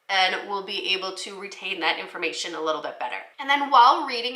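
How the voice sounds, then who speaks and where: A woman teacher giving an instructional talk to fellow educators, explaining things clearly. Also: medium pitch, bright, forceful tone. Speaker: teacher presenting children's books for the classroom. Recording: roomy, echoey indoor sound.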